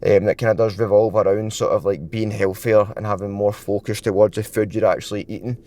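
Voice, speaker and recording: A young man in his twenties talking casually to camera in a fitness vlog, rambling with lots of filler words, his throat a bit dry and croaky. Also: lowish voice, fairly even intonation, brisk, a little mellow. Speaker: fitness vlogger.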